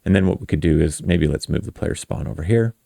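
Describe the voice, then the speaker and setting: A man's voice: deep voice, fast, gravelly, warm tone, no room sound, studio quality. A man hosting a casual coding tutorial, thinking aloud in an informal, self-deprecating way. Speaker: tutorial host.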